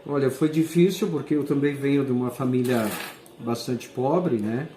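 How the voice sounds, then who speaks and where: Principal, 40s, warm mellow tone, halting delivery, roomy echo. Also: male voice, mid-range voice. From southern Brazil. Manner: hesitant, pausing often to search for words. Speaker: school principal. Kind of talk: answering interview questions. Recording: echoey room.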